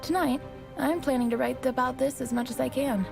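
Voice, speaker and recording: Earnest female superhero cop, high-pitched with vocal fry, comic-book game cutscenes over music. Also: a little fast. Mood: earnest, upbeat. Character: superhero cop woman, earnest, social-media minded, narrating her patrol.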